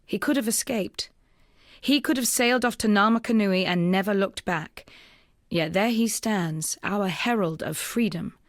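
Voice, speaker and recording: An actress voicing a weary, earnest young rebel woman, a wounded freed slave, in measured, solemn video game dialogue. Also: mid-range voice, dry recording, clean studio sound, lightly acted.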